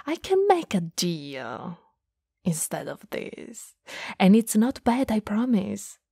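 A woman performs a playful, teasing goth older sister in a romantic ASMR roleplay. Her voice is dark and husky with breath, often slipping toward a whisper. She sits very close to the mic in a dry, intimate recording. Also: medium pitch, very animated, takes it slowly, no room sound, exaggerated voice. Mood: playful bargaining. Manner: soft, breathy, drifting into whispers. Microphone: close, dry studio mic.

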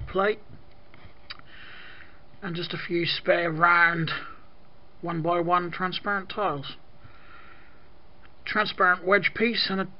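A slow-talking British man with a fairly high, croaky voice, chatting his way through a toy review, pausing for ums and throat clearing. The sound is dull and lo-fi, caught by a camera mic in an indoor room. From Britain. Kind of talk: casual product review.

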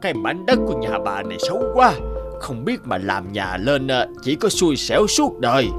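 An actor voicing a vain, spiteful middle-aged feng shui master in a cartoon folk tale, theatrical and grumbling. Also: male voice, medium pitch, wildly swooping pitch, moderate pace. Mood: irritated, resentful. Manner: theatrical, muttering complaints, falsely polite. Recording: music behind the voice.

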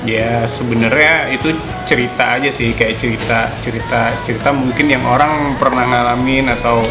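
A man's voice: medium pitch, steady tone, normal speed. A man chats casually as an interviewed musician, explaining in slang and repeating his words as he goes. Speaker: band member and songwriter being interviewed. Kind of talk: casual chat. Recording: roomy echo, loud background music.

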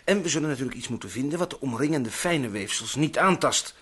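An actor playing a doctor and scientist who works through the night, talking in a jovial, chatty way in an old radio drama. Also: male voice, mid-range voice, animated, fast, a bit bright, lightly acted. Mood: earnest. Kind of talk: vintage Dutch radio drama acting.

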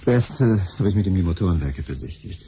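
A man's voice: low-pitched, animated, quick pace, warm tone, a little hiss or hum. An actor playing a frustrated, pushy middle-aged father in a 1970s German radio play, grumbling and insistent. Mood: exasperated.

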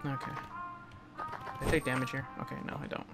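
A man casually commenting on his own gameplay, rambling, chatting to the enemies and breaking into sudden excited outbursts. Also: mid-range voice, moderate pace. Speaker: let's play gamer. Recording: loud game music behind.

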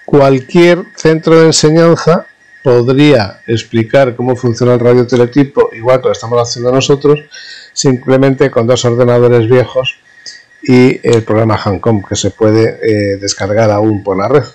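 Man giving a halting technical demonstration. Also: mid-range voice, fairly even intonation, darkish tone, poor audio. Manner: halting, self-correcting, explanatory. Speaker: radio communications instructor. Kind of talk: informal hands-on technical demonstration narration.

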